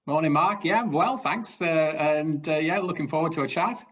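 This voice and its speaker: A man's voice: on the high side, moderate pace, fairly bright tone. A man, chatting as a guest in an interview, hesitant with many fillers and restarts. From South Wales. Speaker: retiring assistant director, guest. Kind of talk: casual interview chat.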